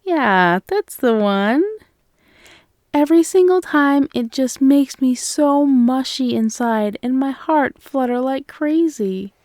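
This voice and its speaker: Woman acting soft, slow, mellow loving girlfriend. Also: sits high, animated, no room sound, clean studio sound. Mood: tender, playful. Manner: soft, cooing, teasing. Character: loving, playful, affectionate girlfriend waking her partner. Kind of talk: ASMR girlfriend roleplay.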